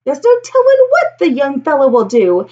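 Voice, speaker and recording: Woman playing high-pitched, amazed townsfolk, gushing storybook voice. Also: wildly swooping pitch, normal speed, dark tone, lo-fi sound. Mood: astonished. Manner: exclamatory, singsong rhymed delivery. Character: amazed, gushing crowd of townsfolk cheering a young zookeeper.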